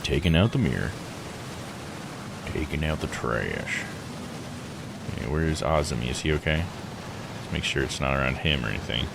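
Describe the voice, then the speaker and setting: A man's voice: a bass voice, expressive, normal speed, gravelly, long pauses, noisy background. A man giving casual live game commentary, joking, slangy and thinking aloud. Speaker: video game streamer.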